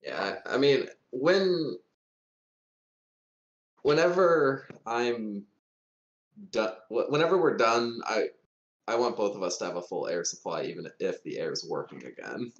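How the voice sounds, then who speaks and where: A man's voice: on the low side, animated, a little slow, long pauses, roomy sound, muffled recording. A man playing a tabletop game, chatting casually about the rules.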